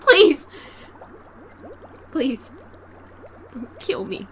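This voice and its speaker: A woman's voice: high voice, sing-song, extremely slow, a bit bright, exaggerated voice. A woman playing a desperate, cowed employee in an audio drama, pleading and stammering. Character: desperate, cowed female employee begging her boss. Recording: noisy, ambient background.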